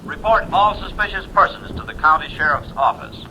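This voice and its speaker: Piercingly bright, urgent radio announcer. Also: male voice, on the high side, big pitch swings, brisk, muffled recording, exaggerated voice. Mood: urgent, grave. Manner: formal, clipped, emphatic. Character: urgent, solemn radio news announcer reading a warning. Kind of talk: mid-century radio news bulletin. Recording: vintage radio bulletin with faint noise.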